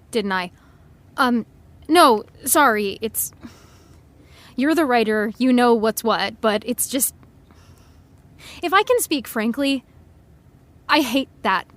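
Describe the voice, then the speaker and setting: Outspoken, apologetic TV actress, hesitant, higher register, close dry sound, audio drama. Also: female voice, a bit bright, dry recording. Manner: blurting, hesitant. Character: outspoken, awkward, apologetic TV actress with strong opinions. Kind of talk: audio drama acting, naturalistic dialogue.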